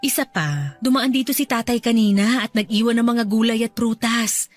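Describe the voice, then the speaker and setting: A woman playing a gentle, caring middle-aged wife and mother in a radio drama, speaking affectionately and soothingly. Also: fairly high pitch, moderate pace, lightly acted. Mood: reassuring. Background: soft music bed.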